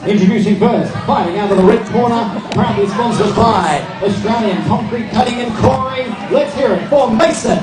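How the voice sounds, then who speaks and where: A man working as master of ceremonies at a boxing weigh-in, announcing in a loud, showy style with drawn-out introductions. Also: sits high. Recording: echoey PA from far off, loud crowd and music. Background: loud music and crowd.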